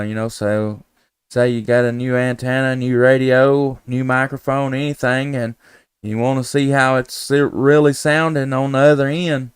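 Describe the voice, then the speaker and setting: A man's voice: mid-range voice, clean tone, no room sound, clean studio sound. A man, chatting casually as a ham radio operator on a live stream in a folksy, easygoing way with call-sign phrasing. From United States, Tennessee.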